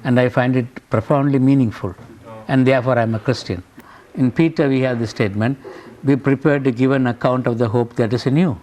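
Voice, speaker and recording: An older man from Sri Lanka with a Sri Lankan accent, a professor speaking earnestly and in measured phrases with frequent pauses, lecturing and reflecting on his own life in a classroom. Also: mid-range voice, steady tone, darkish tone.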